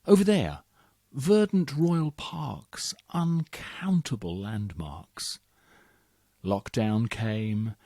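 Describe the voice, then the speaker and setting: A man's voice: a little mellow, no room sound, clean studio sound. A man reading poems aloud in a theatrical, emphatic way. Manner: theatrical, swooping pitch, emphatic. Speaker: reader of competition entries. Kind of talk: expressive poetry reading.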